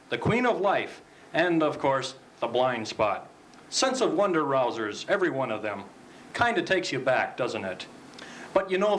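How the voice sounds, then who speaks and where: A man playing a folksy old-time pitchman, delivering a parody commercial with chummy salesman patter. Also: a bit bright, low-quality recording. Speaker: advertisement spokesman. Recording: faint noise, amateur 1970s recording.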